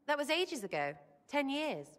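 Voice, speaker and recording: An impulsive, irreverent young female rogue in a fantasy video game. Her voice sits fairly high and swings widely in pitch. She blurts out slangy, rough-tongued fragments with cheek. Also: very animated, moderate pace, a bit bright. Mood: blunt, chatty.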